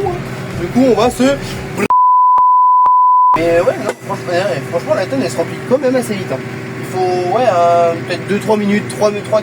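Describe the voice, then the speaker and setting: A man's voice: high-pitched, poor audio. A young man with a cold and a stuffy nose, chatting casually and hesitantly as he films his farm work from a tractor. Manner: chatty, lots of hesitations and repeats. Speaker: farm vlogger. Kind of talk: casual running commentary while working. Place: tractor cab and farmyard outdoors.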